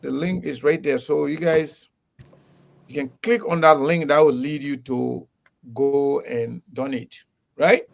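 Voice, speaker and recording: A man hosts a casual live interview. His voice sits a little high and has a gravelly edge. He is animated and laughs often, repeating words as he talks. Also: a little slow. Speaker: host.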